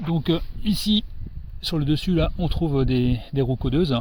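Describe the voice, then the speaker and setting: A man giving an informal hands-on equipment demonstration as an amateur radio operator, explaining things hesitantly and pausing to find his words. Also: fairly high pitch, animated, dark tone, slight background noise.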